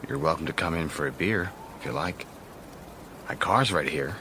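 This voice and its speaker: An actor playing an urbane married man in a radio drama, a courteous host who talks casually and politely while quietly probing. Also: very deep voice, normal speed. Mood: hospitable, guarded. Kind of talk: naturalistic radio drama dialogue. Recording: clearly noisy background ambience.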